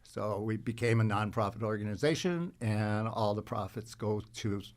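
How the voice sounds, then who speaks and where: A man chatting casually as a podcast guest, telling anecdotes in a halting, rambling way. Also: lowish voice. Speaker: podcast guest, actor and car show organizer.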